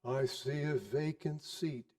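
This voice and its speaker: A man voicing a stern, solemn ghostly spirit in a storybook performance, speaking slowly and gravely. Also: medium pitch, fairly even intonation, warm tone, distant mic, close to an everyday voice. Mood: grave, foreboding.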